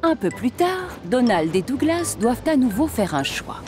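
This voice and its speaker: A woman's voice: fairly high pitch, sing-song, moderate pace, over music. A woman narrating a children's story in a scripted storytelling style.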